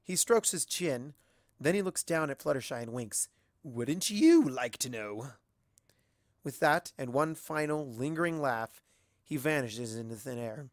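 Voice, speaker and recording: A man reading a story aloud as an expressive amateur narrator. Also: medium pitch, normal speed, no echo, clean studio sound. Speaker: amateur audiobook reader.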